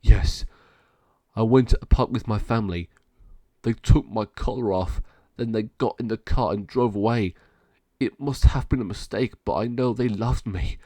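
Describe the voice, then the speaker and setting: A man's voice: fairly low pitch, normal speed, mellow, no room sound, lightly acted. Man doing a wistful caged dog. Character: wistful, trusting male caged pound dog.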